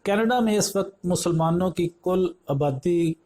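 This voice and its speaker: A man narrating a scripted news report, reading formally in measured phrases. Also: high voice, a little mellow.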